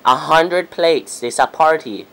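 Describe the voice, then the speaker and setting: A young man speaking casually as a customer on a prank phone call, halting and repeating himself. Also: a little high, lively intonation, a bit bright. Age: young adult. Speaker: prank caller, video host. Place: small room at home.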